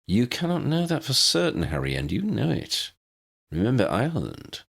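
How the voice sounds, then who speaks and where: A man voicing a formal, cautious man with measured, polite, wordy speech in an audiobook. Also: medium pitch, huge pitch swings, gravelly, fairly bright tone, breathy edge, pitched above the performer's own voice, heavily acted. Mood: firm protest. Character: formal, cautious, chivalrous man who gently objects.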